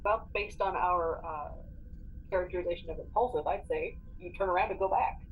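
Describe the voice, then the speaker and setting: A woman's voice: lowish voice, a little fast, narrow, tinny audio. Casual woman co-host, faint noise behind. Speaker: tabletop game co-host. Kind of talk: casual game chat.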